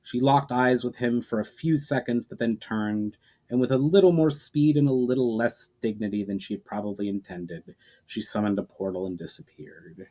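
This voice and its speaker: A man's voice: medium pitch, normal speed, warm tone, poor audio. A man presenting his own fantasy fiction as author and storyteller. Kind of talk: dramatic scripted narration, casual sign-off.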